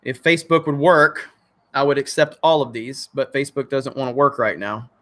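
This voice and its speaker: Bright, crackly-voiced male streamer. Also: medium pitch, steady tone, moderate pace. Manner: blunt, swears freely, loose and joking. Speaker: channel host. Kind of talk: casual livestream chat reading viewer comments.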